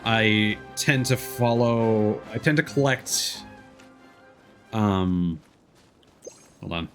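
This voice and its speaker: A man doing casual, chatty live game commentary, quick to react out loud. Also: fairly low pitch, faint music behind. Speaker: game livestreamer, host.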